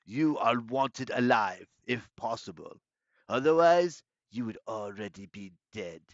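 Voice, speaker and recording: A man's voice: mid-range voice, animated, no echo, lo-fi sound. A man voicing a menacing monster in disguise, pompous and condescending, in a storybook reading. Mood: cold threat. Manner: pompous, condescending, deliberate villain delivery. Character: menacing, gloating male monster disguised as a schoolmaster.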